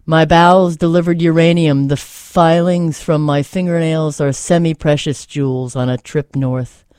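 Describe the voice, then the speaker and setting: A man's voice: a little high, fairly even intonation, unhurried, darkish tone, clear, resonant tone, no echo, studio quality. A man hosting in a reflective, casual way, talking and reading poetry aloud, repeating phrases for emphasis. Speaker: host reading poems aloud.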